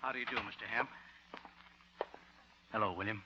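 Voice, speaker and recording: An actor playing a reserved, well-to-do father who speaks with halting politeness in a 1950 radio comedy. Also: fairly low pitch, noisy background, close to an everyday voice. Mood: earnest. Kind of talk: 1950 radio sitcom acting. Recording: muffled 1950 radio broadcast.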